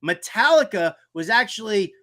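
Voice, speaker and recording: A man's voice: high voice, expressive, moderate pace, sharp tone. A middle-aged man hosting a casual sports talk show, emphatic and repeating phrases for effect. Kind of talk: casual radio chat.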